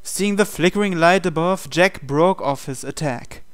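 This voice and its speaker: Clear, higher-voiced man narrating dramatically. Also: measured pace, a bit bright, faint music behind. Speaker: playthrough narrator reading a visual novel aloud. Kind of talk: dramatic scripted story narration.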